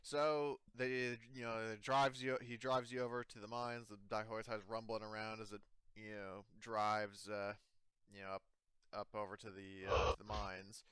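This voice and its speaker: A man improvising tabletop game narration as game master in a casual, halting, self-correcting way. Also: on the low side, flat delivery, a bit bright, smooth, no echo.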